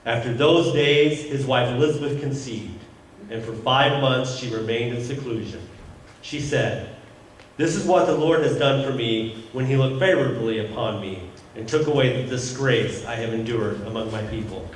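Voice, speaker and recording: Casting: male church reader, measured formal delivery of prepared text, recorded from afar with faint noise in an echoing sanctuary. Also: medium pitch. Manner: measured, formal, occasionally stumbling reading. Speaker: church reader introducing a monologue. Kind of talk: scripted introduction read aloud. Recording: very echoey church, distant mic, some noise.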